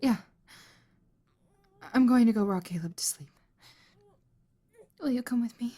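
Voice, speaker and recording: A woman's voice: fairly high pitch, measured pace, dark tone, slightly rough. An actress playing an anxious, defensive young mother in an audio drama. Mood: shaky, subdued.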